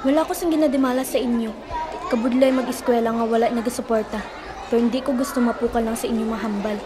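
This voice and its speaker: A woman's voice: fairly high pitch, steady tone, fast, close to an everyday voice. A woman with a Filipino accent playing an earnest, bullied schoolgirl in a student radio drama. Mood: hurt, earnest. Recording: faint crowd and noise behind.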